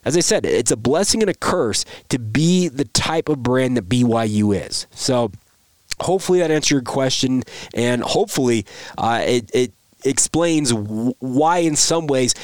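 A man hosting a sports podcast, hoarse and a little raspy from a cold, explaining his points in an earnest, emphatic way. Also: medium pitch, expressive, normal speed, no echo. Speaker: podcast host. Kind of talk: solo sports podcast commentary.

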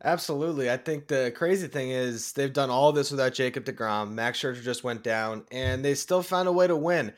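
A man talks baseball as a guest analyst on a sports show. His pitch is average for a man, and his delivery is conversational. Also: normal speed, hardly any pauses.

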